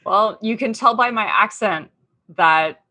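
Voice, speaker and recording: Bright-voiced woman chatting casually. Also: medium pitch, takes it slowly. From Australia. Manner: chatty, lots of fillers and "like". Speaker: podcast guest. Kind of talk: casual interview chat.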